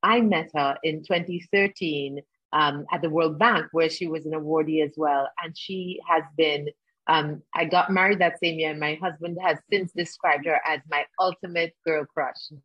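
A woman's voice: sits low, steady tone, moderate pace, husky, dry recording. A woman hosting a leadership podcast, opening with a polished, scripted introduction. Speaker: podcast host. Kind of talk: podcast interview. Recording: dry, dull lo-fi audio.

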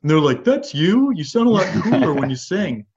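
A man's voice: high-pitched, very animated, a little fast, darkish tone, muffled recording. Nasal Michigan man telling band stories. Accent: Midwestern US, Michigan. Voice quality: slightly nasal, as if with a cold. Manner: rambling, storytelling, many fillers. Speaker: band singer and guitarist, guest. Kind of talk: casual interview chat.

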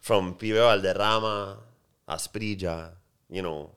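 A young man with a deep, smooth and resonant voice sits in as a podcast guest. His pitch sits a little low, and he talks loosely, full of "you know" and restarts. The talk is casual and unhurried. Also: steady tone, fairly bright tone. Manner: loose and chatty, many fillers and restarts. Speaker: podcast guest, soccer field owner.

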